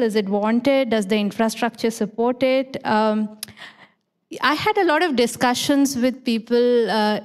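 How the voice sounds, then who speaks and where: Academic woman answering in a hall. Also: a little high. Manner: measured, self-correcting, thoughtful. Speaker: conference panelist. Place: conference hall. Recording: reverberant hall.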